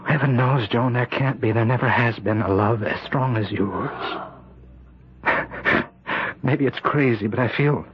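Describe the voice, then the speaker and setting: An actor playing a tormented, bitter husband gripped by a murderous obsession, speaking intensely and haltingly in an old-time radio drama. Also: male voice, mid-range voice, slight background noise, natural voice. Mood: shaken, relieved. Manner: halting, intense, repeats phrases. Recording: muffled old radio recording.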